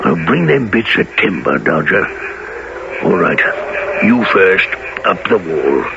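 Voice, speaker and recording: An actor playing a brutal, gruff, commanding burglar with a gravelly voice in a radio play. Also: male voice, deep voice, big pitch swings, takes it slowly, heavily acted. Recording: dull, noisy old radio recording.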